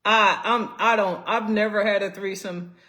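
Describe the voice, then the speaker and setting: A man's voice: sits high, steady tone, moderate pace, pushed and bright. A man co-hosting a casual live Q&A, talking in a laid-back way. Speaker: co-host of a live Q&A stream. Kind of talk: casual live-stream chat.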